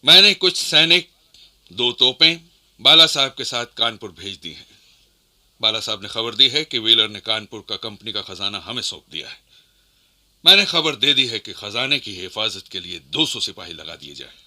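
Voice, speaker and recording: An actor playing a commanding, deliberate rebel leader and strategist in a historical radio play. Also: male voice, lowish voice, animated, bright, forceful tone. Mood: measured, commanding. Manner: deliberate, authoritative.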